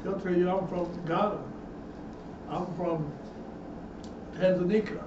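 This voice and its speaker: A man's voice: high voice, steady tone, takes it slowly. A man preaching a Bible teaching sermon as a minister, emphatic and repetitive, building in intensity. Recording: echoey, distant, dull room sound with noise.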